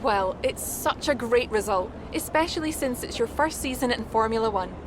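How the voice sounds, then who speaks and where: An actress plays a brisk female sports reporter in a game cutscene. Her voice is high, upbeat and professional as she probes, with clear outdoor noise behind. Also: expressive, close to an everyday voice. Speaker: interviewer.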